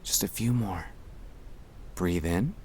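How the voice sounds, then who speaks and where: Very slow, soft low-voiced man, ASMR. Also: noisy background. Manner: soft-spoken, soothing, often dropping into whispers. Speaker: ASMR creator guiding breathing exercises. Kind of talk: gentle ASMR sleep-aid guided breathing.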